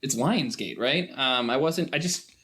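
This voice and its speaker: A man's voice: medium pitch, lively intonation, measured pace, sharp tone. A man speaking as a podcast guest, explaining things casually with hesitations and tangents. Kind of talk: casual discussion.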